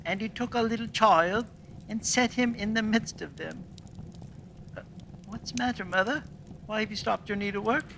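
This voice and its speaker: Radio play child, played by a woman: medium pitch, hushed, hesitant, sad, faint noise behind. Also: normal speed, vocal fry, long pauses, poor audio. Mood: hushed sorrow. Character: quiet, sorrowful child of a grieving family. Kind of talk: theatrical radio play acting.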